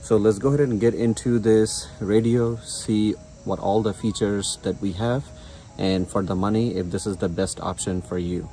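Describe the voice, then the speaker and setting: A man's voice: on the low side, fairly even intonation, normal speed, mellow, faint music behind. A man in his thirties giving a casual product review outdoors, explaining features conversationally and repeating himself. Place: outdoors on a residential front porch.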